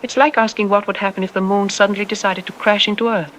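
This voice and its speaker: A woman playing a calm, reassuring scientist who explains things in a measured way, as an expert guest in an audio drama. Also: medium pitch, a little hiss or hum, lightly acted.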